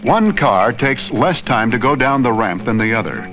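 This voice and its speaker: A man giving scripted educational narration in a slow, clear, teacherly way, asking the viewer simple questions. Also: medium pitch, lively intonation. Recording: dull vintage film soundtrack with faint music.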